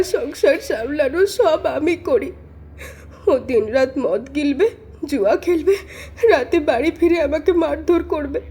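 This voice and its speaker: A woman's voice: high-pitched, a little mellow. A woman playing a weeping village wife, who is a ghost in disguise and pleads through sobs. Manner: sobbing, pleading village speech.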